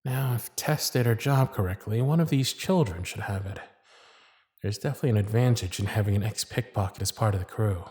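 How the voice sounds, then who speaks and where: A man voicing a lovestruck magician's private thoughts in a hushed, confiding inner monologue for an ASMR roleplay. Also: fairly low pitch, brisk, pitched below the performer's own voice, lightly acted. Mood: smitten, musing. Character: smitten magician's private thoughts, shy and fond.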